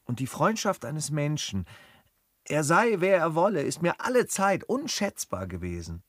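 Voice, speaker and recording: Noble, measured gentleman traveller, expressively melodic. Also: on the high side, very animated, no room sound, clean studio sound. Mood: earnest, dignified. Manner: measured, formal, earnest. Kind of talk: German radio drama acting of a classic stage comedy.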